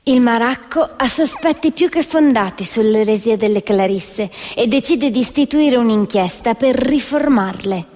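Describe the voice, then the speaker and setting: A woman's voice: on the high side, expressive, moderate pace, few pauses, darkish tone. A woman actress delivering scripted theatrical narration in a declamatory storytelling manner. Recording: reverberant hall with music behind. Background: music.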